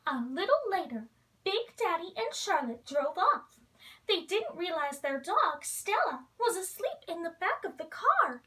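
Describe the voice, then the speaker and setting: A woman reads a children's story aloud in an expressive storybook style, playing the role of a princess. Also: extremely high pitch, a little slow, distant mic.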